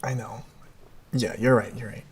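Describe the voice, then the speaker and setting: A man's voice: medium pitch, fairly even intonation, moderate pace, husky. A young man playing an anxious, closeted student candidate in a scripted drama, earnest, nervous and guarded. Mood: nervous, tender. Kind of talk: scripted moral drama acting.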